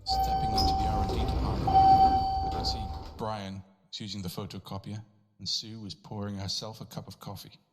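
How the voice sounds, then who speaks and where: A man playing an office worker, narrating his ordinary morning in the first person for an audio game, subdued and deliberate. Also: lowish voice, completely flat delivery, loud music behind the voice, close to an everyday voice. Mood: subdued, matter-of-fact.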